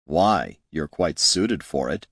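A man voicing a cool, superior wizard with a measured, condescending manner in a fantasy storybook. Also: rumbling low, lively intonation, breathy edge, no room sound, clean studio sound, pitched below the performer's own voice, full character voice.

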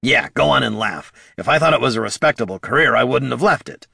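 A man voicing a small, scrappy, plain-spoken kobold in an audiobook, with a gruff, colloquial way of talking. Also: mid-range voice, expressive, fast talker, bright, forceful tone, no room sound, clean studio sound, voice pushed up, heavily acted. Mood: defensive. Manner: colloquial, gruff, growling when riled. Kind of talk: audiobook character voice.

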